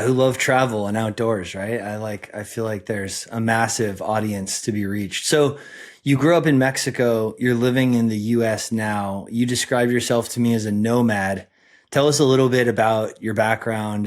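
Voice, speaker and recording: A man's voice: lowish voice, steady tone, moderate pace. A man hosting a podcast, reading an upbeat intro and then chatting with his guest. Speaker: podcast host. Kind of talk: scripted intro then casual interview.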